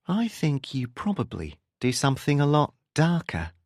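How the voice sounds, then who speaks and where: A man voicing a curious, persistent woman who probes gently in a narrated novel. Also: on the high side, huge pitch swings, moderate pace, dry recording, voice pushed up, exaggerated voice. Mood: serious, probing. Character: curious, persistent young woman, probing and smoking.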